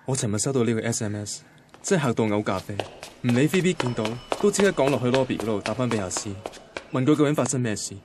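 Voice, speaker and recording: An actor playing an anxious, defensive young boyfriend from a Cantonese radio drama, conversational and grumbling, with a Hong Kong accent. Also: male voice, mid-range voice, expressive, quick pace, noisy background, natural voice. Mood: reflective, uneasy. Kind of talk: radio drama first-person narration and dialogue. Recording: guitar music behind the voice. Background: guitar music.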